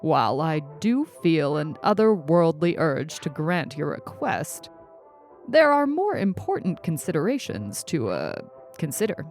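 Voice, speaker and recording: A woman plays a bemused old man of letters, wry and grandiose, in a storybook reading. The phrasing is ornate and unhurried, the intonation expressive, with soft music behind. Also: deep voice, full character voice. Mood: wry, reluctant. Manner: ornate, formal, deliberate phrasing. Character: old male American author, wry, pompous, bemused.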